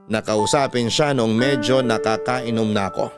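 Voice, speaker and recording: Filipino guy reading a love letter like classic radio storytelling, medium pitch, heartfelt, faint music behind. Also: steady tone, moderate pace. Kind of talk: dramatic first-person story narration.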